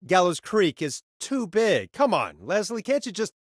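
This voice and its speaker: A man's voice: sits high, sing-song, a little slow, no room sound, full character voice. A male late-night radio DJ in a small town, heard close and dry with no room around him. He talks in a conversational, slightly hesitant way, wry and exasperated, with sarcasm under the on-air patter of a video game character. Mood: pleading, frustrated. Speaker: radio host. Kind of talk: scripted game voice acting, radio host patter.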